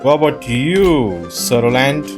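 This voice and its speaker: A man's voice: medium pitch, sing-song, a little slow. A man doing casual game commentary, thinking aloud in an informal, reactive way. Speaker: gaming video host playing and commenting. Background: game music.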